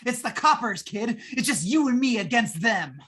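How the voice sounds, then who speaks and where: A woman's voice: on the high side, big pitch swings, a bit bright, slightly rough, full character voice. A woman playing a jittery, wheedling, wisecracking repairman in a 1950s radio play, talking in slangy patter. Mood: scheming. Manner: slangy fast-talking gangster-style patter. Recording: noisy live radio play stream.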